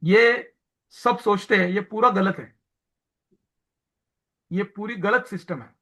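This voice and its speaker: Man, high-pitched voice, training lecturer, mixes hindi and english, hesitant fillers, everyday examples. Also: slow, a bit bright. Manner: explains through everyday home examples. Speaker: hospital accreditation trainer.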